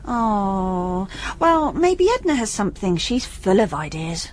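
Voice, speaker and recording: An actress playing a possessive, needy young princess in a radio comedy drama, insistent and petulant. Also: female voice, medium pitch, very animated, slow pace. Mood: appeased.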